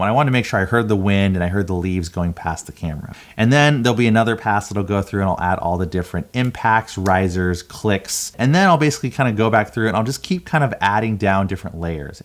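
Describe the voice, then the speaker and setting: A man with a fairly low voice hosts a casual vlog. He explains things in an informal, conversational way, like a creator talking straight to his viewers. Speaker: video creator and host.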